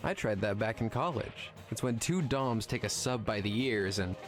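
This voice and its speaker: A man's voice: fairly low pitch, expressive, moderate pace, very noisy, lightly acted. A man playing a sarcastic, irreverent film nerd in a visual novel game, wry and mischievous in his needling.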